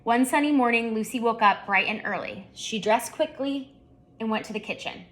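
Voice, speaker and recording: A woman author narrating her children's book at storytime, with expressive, deliberate phrasing for young listeners. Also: fairly high pitch. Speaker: children's author reading aloud.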